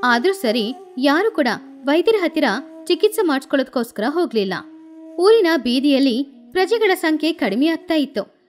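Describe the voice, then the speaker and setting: A woman narrating a scripted moral story with expressive, animated delivery. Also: high voice, normal speed.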